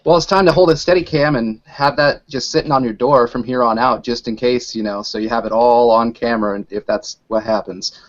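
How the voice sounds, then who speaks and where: A man's voice: medium pitch, brisk, few pauses. Man chatting on lo-fi radio. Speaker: radio show co-host. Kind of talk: casual radio show chat. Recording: dull, lo-fi audio.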